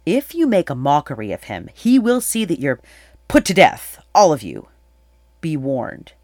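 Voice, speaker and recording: Forceful, stern young hunter, as a narrator plays him. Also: medium pitch, huge pitch swings, measured pace, no echo, studio quality, heavily acted. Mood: threatening. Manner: forceful, warning. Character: protective young male hunter, stern and threatening.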